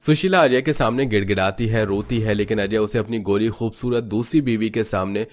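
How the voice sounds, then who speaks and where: A man's voice: on the low side, brisk, faint music behind. A man giving scripted, melodramatic narration for a cartoon family story.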